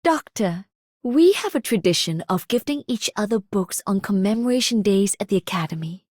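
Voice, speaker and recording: A woman's voice: medium pitch, lively intonation, moderate pace, darkish tone, no room sound, clean studio sound, natural voice. Woman as a studious, encouraging academy lady. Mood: kindly, encouraging. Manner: earnest, encouraging. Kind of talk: video game voice line.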